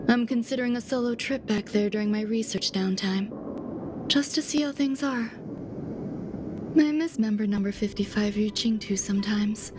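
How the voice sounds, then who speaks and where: A woman voicing a soft-spoken, detached genius scientist in a game cutscene, calm and measured, at times dropping to a whisper. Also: mid-range voice, background noise, close to an everyday voice. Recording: game audio with music behind. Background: music.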